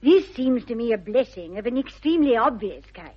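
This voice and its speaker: Stern, prim English governess for period radio comedy: high, precise, tartly disapproving. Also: female voice, lively intonation, a little fast, breathy edge. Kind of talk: 1960s radio comedy of manners acting. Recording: dull 1960s radio recording.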